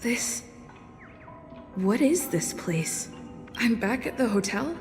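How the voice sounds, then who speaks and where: An actress playing a bewildered young video game heroine, hesitantly musing to herself. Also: female voice, fairly high pitch, big pitch swings, takes it slowly, soft background music. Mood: disoriented. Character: bewildered young heroine waking in a strange dream. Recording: long reverb on the voice.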